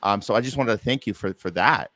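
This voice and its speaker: A man's voice: on the low side, brisk, bright, forceful tone. A man hosting a casual interview, talking in an effusive, appreciative, rambling way. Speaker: podcast host, theater producer.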